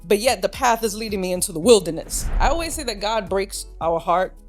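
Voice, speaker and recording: A woman's voice: mid-range voice, expressive, brisk, faint music behind. A woman from Brooklyn, New York, a podcast guest sharing her personal testimony in an earnest, reflective way.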